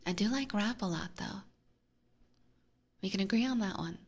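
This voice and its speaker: A woman hosting an ASMR livestream, chatting with viewers in a soft, breathy whisper close to a binaural microphone. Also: mid-range voice, measured pace. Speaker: livestream host.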